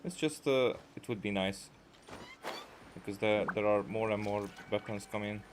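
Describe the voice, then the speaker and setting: A man giving casual gameplay commentary in a hesitant, halting way, as if thinking aloud. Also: fairly low pitch, fairly even intonation, background noise. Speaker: gaming video creator. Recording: game music behind the voice. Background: video game music.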